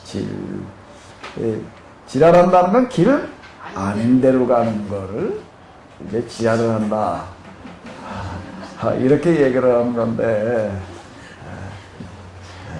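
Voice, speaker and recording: Male pastor, Jeolla accent, rambling lecture style with questions to the audience; gravelly, slightly high voice, echoey room. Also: darkish tone. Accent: Korean Jeolla dialect. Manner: rambling, many fillers, asks the audience questions. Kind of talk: Bible seminar lecture. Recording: echoey room with faint noise.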